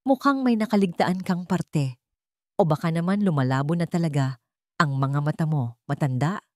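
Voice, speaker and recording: A woman's voice: sits low, normal speed, dry recording, studio quality, lightly acted. Woman reading as a cold, two-faced wife. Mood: cold mockery. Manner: cold, insincere, sneering.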